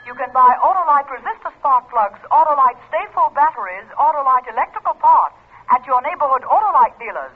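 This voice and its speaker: A man's voice: extremely high pitch, expressive, fairly quick. A man delivering a scripted 1940s radio advertisement. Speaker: commercial voice. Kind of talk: 1940s radio ad jingle read. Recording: thin, phone-like old radio recording.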